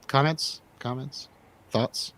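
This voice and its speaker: A man's voice: medium pitch, fairly even intonation, takes it slowly, fairly bright tone. A man hosting a casual movie review radio show.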